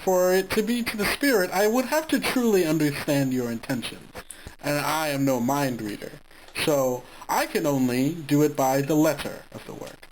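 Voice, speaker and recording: A woman's voice: low-pitched, moderate pace, slight background noise, close to an everyday voice, poor audio. A woman voicing a smug, pedantic wish-granting arcade figure that twists rules to its liking. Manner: haughty and teasing, sticks to the letter.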